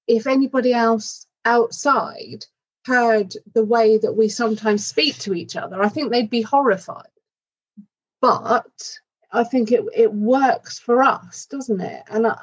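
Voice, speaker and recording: A woman's voice: a little high, breathy edge, lo-fi sound. A woman from the UK answering in an interview, frank and plainspoken, checking in with her husband as she goes. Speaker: podcast guest. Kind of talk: candid conversational interview.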